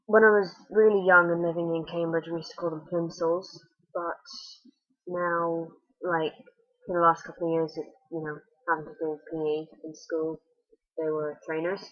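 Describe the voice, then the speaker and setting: A teenage girl with a Fermanagh accent talks in a chatty, blunt, wry way. Her voice sits low for a young woman. The webcam sound in her small bedroom is dull and lo-fi. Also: little pitch movement, normal speed. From Northern Ireland. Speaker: vlogger. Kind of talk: casual accent-tag video. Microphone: webcam at desk.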